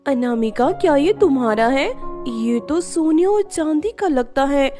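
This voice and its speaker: A humble village woman from a cartoon fairy tale, put on by a woman narrator, high-voiced and full of admiration. Faint music runs behind her words. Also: animated, unhurried, mellow. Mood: amazed. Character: humble, admiring village women visiting a beauty parlour.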